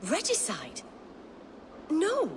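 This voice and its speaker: A woman's voice: high voice, huge pitch swings, very slow, a little hiss or hum, exaggerated voice. An actress playing a haughty, devout noblewoman with an indignant, imperious and theatrical manner. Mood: fervent. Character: haughty, devout noblewoman guarding her brother's secret. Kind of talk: dramatic video game acting.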